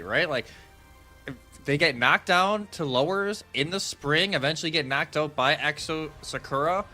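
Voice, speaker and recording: A male esports analyst with a high, bright voice that moves around with lots of animation. He explains in a casual, conversational way, as on a live desk, with music playing behind him. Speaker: esports commentator.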